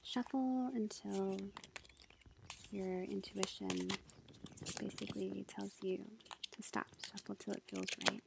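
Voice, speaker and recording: A woman doing a soft-spoken, half-whispered ASMR roleplay as a tarot reader, with a breathy voice and an unhurried, hesitant, gentle, casual manner. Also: mid-range voice. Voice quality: breathy, whispery, with creaky fry. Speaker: ASMR roleplay performer as a tarot-reading witch girlfriend. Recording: lo-fi with faint background noise. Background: faint forest ambience with bird song.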